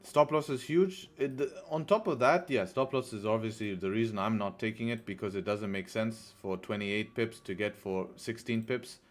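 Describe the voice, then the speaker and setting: A man's voice: fairly low pitch, moderate pace, few pauses, soft background music. Young man streaming with a headset. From Dubai. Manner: relaxed, repeats phrases, says bro and man. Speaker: forex trading streamer. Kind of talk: casual live-stream commentary. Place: small room indoors.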